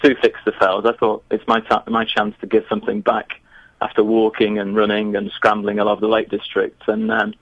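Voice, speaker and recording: Low-voiced man, hesitant. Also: flat delivery, fairly quick, dry recording, dull audio. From northern England. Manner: chatty, hesitant, many restarts. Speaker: guest, geography teacher. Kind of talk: remote interview.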